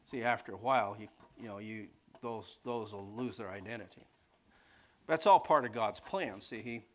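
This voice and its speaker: A man preaching in an informal teaching style, rambling conversationally off the cuff and often checking in with his listeners. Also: on the low side. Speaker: preacher teaching a Bible class.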